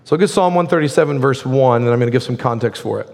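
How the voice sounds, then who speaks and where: Hoarse man preaching in a reverberant hall. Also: medium pitch, expressive, quick pace, darkish tone. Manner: conversational, frequent "like" fillers. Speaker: pastor. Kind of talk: sermon.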